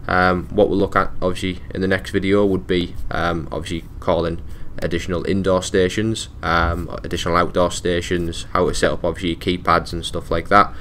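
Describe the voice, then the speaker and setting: A man presenting a technical support tutorial, talking through software steps in a casual, informal, matter-of-fact way. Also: low voice, flat delivery, fairly quick, few pauses, a little hiss or hum. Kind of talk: casual step-by-step software walkthrough.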